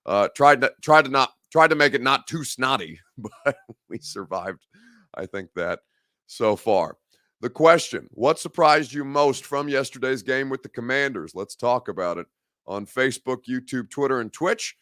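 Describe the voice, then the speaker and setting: A man hosting a live-stream sports talk show, hoarse and gravelly from a head cold, rambling and conversational as he reads viewer comments. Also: medium pitch, expressive, moderate pace, a bit bright, no echo, clean studio sound. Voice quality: gravelly, growly.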